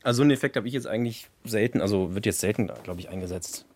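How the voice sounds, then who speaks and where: A man's voice: on the low side, racing, darkish tone, a little hiss or hum. A man casually explaining and demonstrating, like a sound engineer at work.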